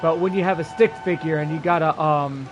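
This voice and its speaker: A man's voice: fairly high pitch, steady tone, brisk. A man giving casual live-stream commentary as a game streamer, rambling and informal. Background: game music.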